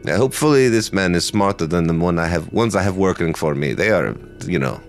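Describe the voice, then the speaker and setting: A man voicing a gruff Soviet soldier who speaks broken English with a Russian accent. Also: sits low, animated, a little fast, faint music behind. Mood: bargaining.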